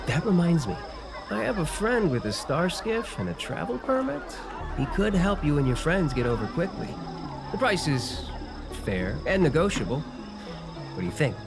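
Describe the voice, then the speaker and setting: A man playing a sly, obliging civil servant in a game, chatty and ingratiating. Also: medium pitch. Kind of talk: English game voice acting.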